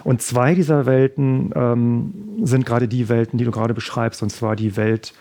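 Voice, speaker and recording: A man, bilingual with Italian as his first language, answering questions about his debut novel in a measured way, pausing often with fillers. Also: mid-range voice, level tone, warm tone. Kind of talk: thoughtful interview answers.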